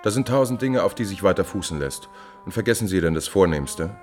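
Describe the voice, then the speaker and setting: Scheming male courtier, low-voiced, cold-blooded and coaxing, deliberate delivery, vintage 1950s radio drama. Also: lively intonation, darkish tone, faint music behind. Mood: calculating, coaxing.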